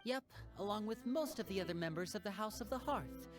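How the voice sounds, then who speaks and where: A man's voice: high voice, expressive, fairly quick, music in the background. An actor voicing a polite, charming young male stage magician in a video game. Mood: hesitant. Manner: courteous, gracious. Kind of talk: game voice acting.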